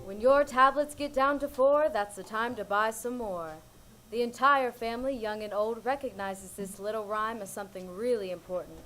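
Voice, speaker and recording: A woman reading a recreated old-time radio commercial in a bright, singsong announcer manner. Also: fairly high pitch, a little hiss or hum. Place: live theater stage.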